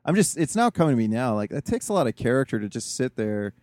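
A man's voice: medium pitch, big pitch swings, fast talker, darkish tone, no echo. A man hosting a casual interview, asking rambling, hesitant questions full of fillers. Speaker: podcast host.

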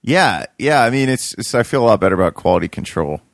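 A man's voice: lowish voice, sing-song, fast talker, gravelly, dry recording, studio quality. A young man chatting casually about his urban farm, rambling with lots of fillers and restarts. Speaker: podcast co-host, urban farmer. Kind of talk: casual chat.

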